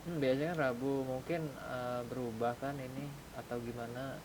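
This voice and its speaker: An Indonesian-accented man chats casually with his gaming group at an average male pitch. The online voice chat is clearly noisy, with a low rumble under his colloquial talk. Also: steady tone, normal speed. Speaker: gaming group member in an online roleplay session.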